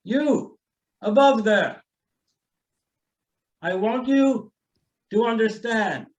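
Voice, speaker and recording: A man's voice: sits high, drawn-out words. A man playing an imperious rich patriarch in a radio play, commanding and grandly declaiming. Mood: grandiose pleading. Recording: distant, dull lo-fi sound.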